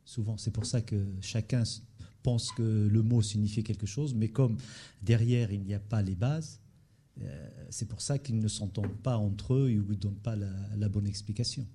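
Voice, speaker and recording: A man on a panel, explaining his view in a measured way. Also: fairly low pitch, fairly even intonation, dark tone, breathy edge. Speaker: panel guest.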